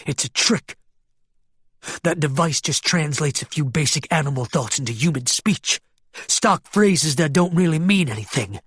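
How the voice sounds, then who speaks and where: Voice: mid-range voice, animated, moderate pace, slightly rough, no room sound, clean studio sound. A narrator voicing a hostile male crime boss, dismissive and rattled, in an audiobook. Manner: growling, stammering, shouting.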